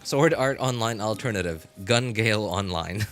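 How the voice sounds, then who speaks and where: A man's voice: lowish voice, normal speed, a bit bright, faint music behind. A man in his thirties chatting casually with stream viewers, rambling with many fillers and restarts and chuckling often. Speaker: live game streamer.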